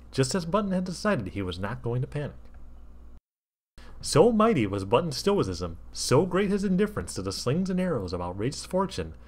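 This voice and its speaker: A man reading comic fan fiction aloud as a storybook narrator, with wry, theatrical asides to the listener. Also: mid-range voice.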